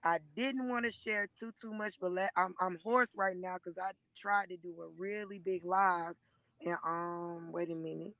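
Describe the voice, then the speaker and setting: A hoarse woman in her thirties gives an emotional, preachy testimony on a live stream, speaking into a phone and often calling listeners by name. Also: fairly low pitch, fairly even intonation, moderate pace, fairly bright tone, dry recording. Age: 30s. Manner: earnest and preachy, repeatedly addressing listeners by name.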